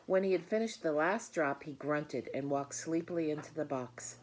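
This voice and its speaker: Female storybook reader, low pitch, direct address to listeners, slight noise behind. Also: moderate pace, low-quality recording. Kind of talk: children's book read-aloud with chatty asides.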